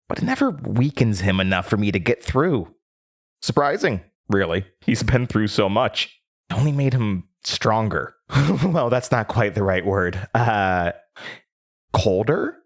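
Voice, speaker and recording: A man playing a gleeful, unsettling word-devouring entity in a horror audio drama, theatrical and savoring each word. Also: on the low side. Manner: theatrical, giggling, savoring words.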